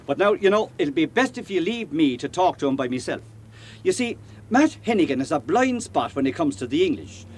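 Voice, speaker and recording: Lilting, lively Irish police superintendent. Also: male voice, fairly high pitch, brisk, slightly rough. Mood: genial, confident. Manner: folksy, cajoling, garrulous. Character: genial, talkative, canny Irish police superintendent. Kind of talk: radio drama acting.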